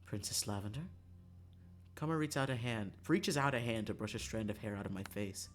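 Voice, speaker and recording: A man's voice: lowish voice, brisk. A man streaming a visual novel, reading the narration aloud in a dramatic storytelling style, with music behind his voice.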